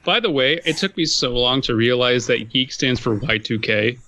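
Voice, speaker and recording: A man's voice: mid-range voice, moderate pace, bright, forceful tone, muffled recording. A man co-hosting a podcast, ranting casually in an emphatic, exasperated, sweary way. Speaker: podcast co-host.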